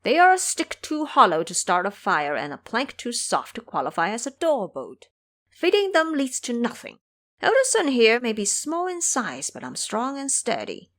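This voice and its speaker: A woman's voice: on the high side, huge pitch swings, normal speed, fairly bright tone, voice pushed up, heavily acted. Lady doing a cocky little monkey king for audio drama, teasing and theatrical, voice jumps all over the place. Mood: boastful. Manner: boastful, preachy, theatrical. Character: cocky, teasing male monkey king, small but sturdy.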